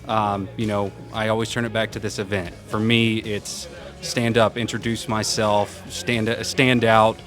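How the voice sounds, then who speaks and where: A young man with a loud voice, answering interview questions casually at a conference and laughing often. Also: fairly low pitch, flat delivery, measured pace, faint music behind. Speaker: event program manager, interview guest. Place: conference venue.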